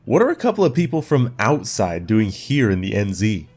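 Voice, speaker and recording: A man playing a suspicious, gruff neighborhood guard who questions outsiders in a slow, threatening way. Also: fairly low pitch, big pitch swings, darkish tone. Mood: wary. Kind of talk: fan audio drama acting. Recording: faint music behind.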